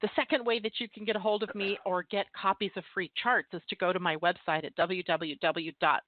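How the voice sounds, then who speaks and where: A woman's voice: fairly low pitch, steady tone, fairly quick, no room sound. Woman, astrologer guest, from Pacific Northwest, earnest, dry tinny audio. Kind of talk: casual chat. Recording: dry phone-like line.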